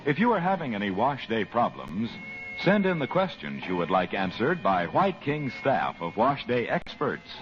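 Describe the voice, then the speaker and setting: A man's voice: huge pitch swings, normal speed. A man announcing a soap giveaway in old radio commercial style, upbeat and persuasive. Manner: upbeat, persuasive pitch delivery. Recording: dull old radio broadcast with music bed.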